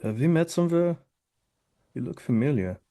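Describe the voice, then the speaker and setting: A man's voice: on the high side, animated, normal speed, warm tone. An actor playing a friendly young male love interest in a romantic comedy table read. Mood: smitten. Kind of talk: romcom table read acting.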